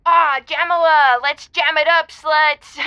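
High, bright, goofy nineteen-year-old caller. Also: female voice, steady tone, takes it slowly, dry recording, full character voice. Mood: excited, goofy. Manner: goofy, rambling slacker slang. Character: quirky, earnest nineteen-year-old radio call-in magician. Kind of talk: semi-improvised audio drama acting. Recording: dull lo-fi phone-in sound.

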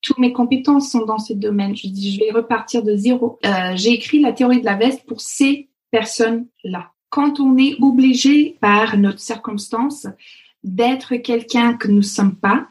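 Halting woman. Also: fairly high pitch, a little mellow. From Canada (Alberta). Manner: halting, with many fillers and restarts. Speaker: interview guest, author. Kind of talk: casual interview talk.

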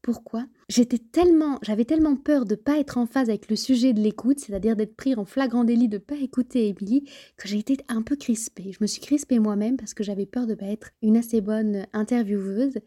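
Female podcast host, earnest and reflective, with a high, very mellow tone. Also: fairly quick. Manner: earnest, reflective, addresses listener directly. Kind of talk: podcast interview.

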